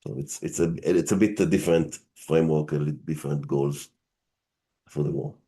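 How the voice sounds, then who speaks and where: A middle-aged man with an Israeli accent, speaking as a guest on a panel and explaining things in a measured way with halting fillers. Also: rumbling low, steady tone, dark tone. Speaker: guest, science fiction writer. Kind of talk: panel discussion.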